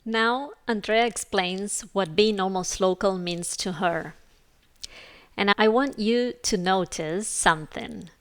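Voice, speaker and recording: Slow-paced woman narrating a podcast. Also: mid-range voice. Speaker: podcast host. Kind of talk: scripted reflective narration.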